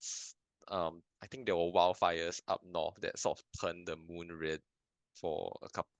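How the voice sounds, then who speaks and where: A young man from Singapore talks through his answers with a deep, low voice. He hesitates, restarts sentences and fills gaps with "um". The sound is dry and close. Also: flat delivery, a little slow, no echo. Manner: hesitant, many restarts and fillers, thoughtful. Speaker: filmmaker guest. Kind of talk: casual interview answers. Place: lives in Canada.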